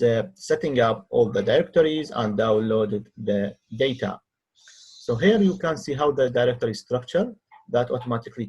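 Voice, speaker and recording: A man who speaks English as a second language narrates a software tutorial as a researcher, in a halting way with hesitant pauses. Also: mid-range voice, husky. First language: not English. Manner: halting, non-native phrasing with hesitant pauses. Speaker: researcher demonstrating own software. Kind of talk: tutorial screen-recording narration.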